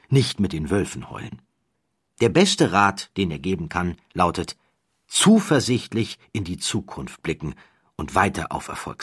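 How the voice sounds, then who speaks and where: A man narrating a nonfiction audiobook in a measured, dramatic storytelling manner, recorded close in a studio. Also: sits low, fairly bright tone, slightly rough, no echo.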